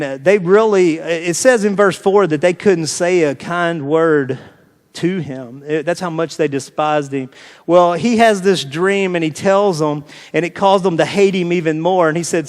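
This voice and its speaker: An American man delivers a sermon in a notably high register for a male voice. His phrasing is informal and conversational, circling back on phrases to drive them home. The sound carries the long reverb of a large hall. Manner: informal, repeats phrases for emphasis. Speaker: pastor preaching to a congregation. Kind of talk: conversational sermon with scripture reading. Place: large reverberant room, preaching live.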